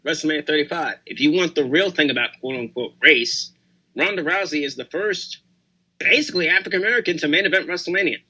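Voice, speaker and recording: A young man on a casual podcast, assertive and punchy, quick to back others up. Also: fairly high pitch, bright, forceful tone, low-quality recording. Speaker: podcast guest.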